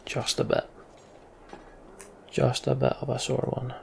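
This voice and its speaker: A man's voice: medium pitch, monotone, a little slow, creaky, a little mellow, slight background noise. A man giving casual, muttering, mildly sweary commentary as he plays a video game. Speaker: let's player. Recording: game music behind the voice. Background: game music.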